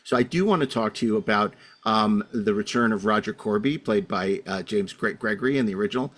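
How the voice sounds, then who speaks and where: A man's voice: on the low side, brisk. A middle-aged man hosting a casual panel discussion, talking in a rambling way full of you-knows. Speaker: podcast host.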